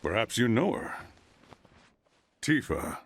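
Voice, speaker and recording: Wandering male martial arts master, older, pompous game character. Slow, gravelly, wildly swooping pitch. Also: very animated, exaggerated voice. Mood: boastful. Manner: pompous, theatrical. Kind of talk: video game cutscene acting.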